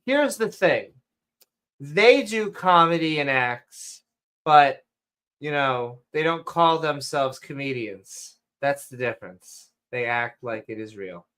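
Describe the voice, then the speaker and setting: A man co-hosting a casual late-night podcast, rambling and jumping between topics, his voice going hoarse. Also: medium pitch, lively intonation, takes it slowly, fairly bright tone. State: losing his voice. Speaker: podcast co-host.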